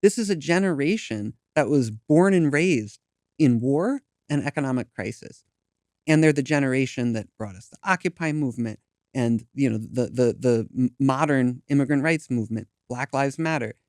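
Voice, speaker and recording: A man's voice: medium pitch, moderate pace, darkish tone, no echo, studio quality. A man in his thirties, an activist guest in an interview, speaking earnestly and emphatically with some stumbles. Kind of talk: impassioned interview talk.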